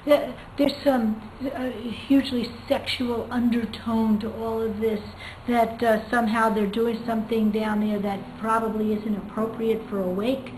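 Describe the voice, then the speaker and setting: A woman's voice: on the high side, level tone, a little mellow, a little hiss or hum. A woman English teacher reading and explaining literature informally, in a thoughtful way. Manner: thoughtful, hesitant, pauses often to find words. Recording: echoey room with music behind.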